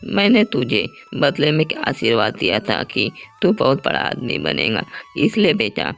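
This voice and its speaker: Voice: medium pitch, huge pitch swings, moderate pace, gravelly, a bit bright, full character voice. A performer voicing a kindly old forest woman in a Hindi cartoon moral story, grateful and full of blessings. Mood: benevolent. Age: older. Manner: grateful, blessing, addressing listener as child.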